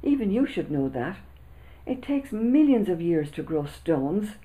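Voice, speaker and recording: A woman's voice: lowish voice, big pitch swings, unhurried, mellow, poor audio. Woman reading as a scoffing young schoolboy. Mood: teasing. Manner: scoffing, teasing. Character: cheeky, mocking schoolboy narrator.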